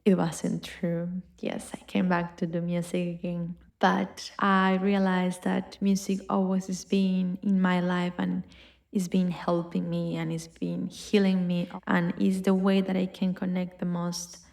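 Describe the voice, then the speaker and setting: Colombian lady talking on her podcast, low-ish voice with some fry, chatty and reflective, lots of likes and ums. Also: completely flat delivery, a little slow. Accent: Colombian Spanish. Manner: warm, reflective, upbeat with filler words. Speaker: podcast host. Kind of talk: casual personal podcast monologue.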